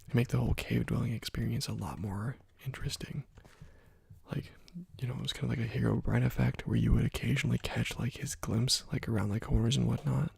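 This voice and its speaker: A man giving soft-spoken ASMR gaming commentary in a hushed, breathy, partly whispered voice, casual and musing, close to the microphone. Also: medium pitch, steady tone, brisk, dry recording. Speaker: gaming video host.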